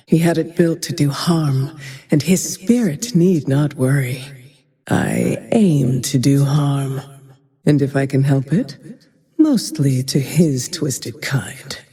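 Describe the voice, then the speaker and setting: Grim female avenger archer for a fantasy game: slow, dark-toned, merciless and composed, in long reverb. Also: a bass voice. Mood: cold resolve, then menacing whisper. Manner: cold, brooding, measured, often dropping to a breathy whisper. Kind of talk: dramatic video game voice lines.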